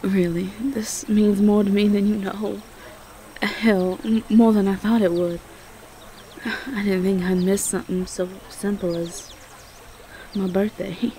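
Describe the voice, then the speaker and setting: A woman's voice: mid-range voice, flat delivery, slow, mellow, slight background noise. A woman playing an affectionate, cheerful young Southern belle girlfriend in a roleplay audio, with a Southern US accent. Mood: tender. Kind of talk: intimate roleplay audio acting.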